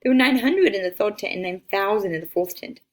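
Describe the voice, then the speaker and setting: A woman reading a children's picture book aloud as an expressive storyteller. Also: mid-range voice, quick pace.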